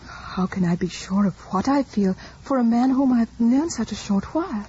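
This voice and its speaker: An actress playing a sweet, modest, smitten young gentlewoman in a period radio drama, gentle and demure. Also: on the high side, expressive, moderate pace, slightly rough. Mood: tender. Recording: dull radio recording with faint music.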